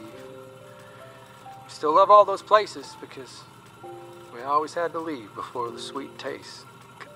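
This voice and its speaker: A wry, wistful American newspaperman on the road reflects in folksy radio drama monologue. His voice sits at an ordinary pitch, with music playing behind it. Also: bright, forceful tone, poor audio. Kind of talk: first-person period radio drama monologue.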